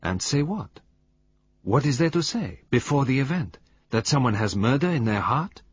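A man voicing a calm, measured, grave elderly detective. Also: medium pitch, husky, no room sound, pitched above the performer's own voice. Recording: dull lo-fi recording.

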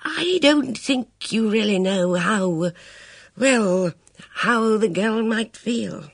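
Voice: mid-range voice, animated, dry recording, studio quality, voice pushed up, exaggerated voice. A reader voicing a hesitant, kindly older military man who stammers and halts between words. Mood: flustered. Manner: stammering, halting, full of fillers.

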